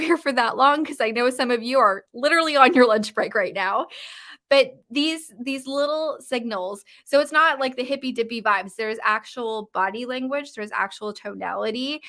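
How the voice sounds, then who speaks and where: A woman's voice: on the high side, pushed and bright. A woman with a mild Canadian West Coast accent, coaching on a live stream in a casual, informal way, with uptalk and lots of fillers. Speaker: confidence coach. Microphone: live-stream mic.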